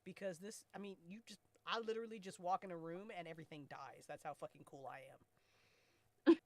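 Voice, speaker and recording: A woman chatting casually and excitably as a host, slangy and swearing freely. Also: fairly low pitch, fairly quick. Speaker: director and host of a fiction podcast cast discussion. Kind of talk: casual chat.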